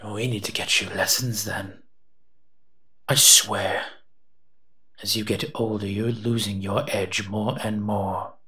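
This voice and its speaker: Man acting a slow-talking, sardonic towering monster. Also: fairly low pitch, steady tone, sharp tone. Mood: sarcastic, teasing. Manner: teasing, sardonic, muttering asides. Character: eight-foot male smoke monster, sardonic, menacing, protective. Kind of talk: scripted roleplay audio drama.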